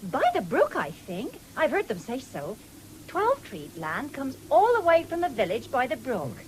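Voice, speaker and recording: A woman's voice: high voice, huge pitch swings, unhurried, full character voice. An actress playing a proud, firm, plain-spoken English farm wife and mother in a radio drama. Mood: polite, businesslike. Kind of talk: 1970s British radio drama acting. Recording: noisy old radio broadcast recording.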